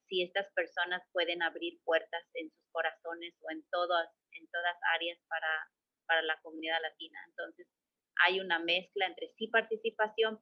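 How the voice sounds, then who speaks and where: Woman from Mexico, middle-aged, low-pitched, halting with fillers, dry dull recording. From State of Mexico. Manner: hesitant, many fillers and restarts. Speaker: guest. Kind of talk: conversational interview answers.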